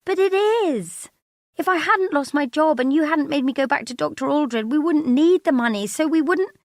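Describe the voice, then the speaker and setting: A female narrator acts out an anxious woman from an audiobook story. She pleads and apologises in halting phrases, quick to excuse herself and easily overwhelmed. The sound is close and dry. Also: lowish voice, darkish tone, no room sound. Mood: defensive, upset.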